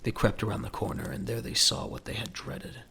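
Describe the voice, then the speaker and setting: A man's voice: brisk, bright, forceful tone, slight background noise. A man narrating a scripted audio drama in a dramatic storytelling style.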